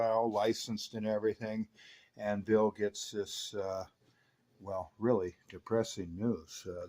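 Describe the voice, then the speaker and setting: A man with a mid-pitched voice speaks as a repair technician walking through a job. His delivery is informal and conversational, with dry, disbelieving asides dropped into the technical detail. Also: a little mellow. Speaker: radio repair technician and channel host.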